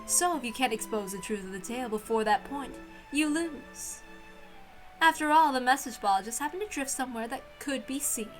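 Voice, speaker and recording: A woman's voice: high-pitched, animated, normal speed, faint music behind, poor audio. A woman voicing a haughty, playful witch centuries old, theatrical and teasing, in a dramatic visual novel reading. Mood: challenging, grand. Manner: theatrical, teasing, given to chuckling. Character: haughty, playful centuries-old female witch who relishes mystery games.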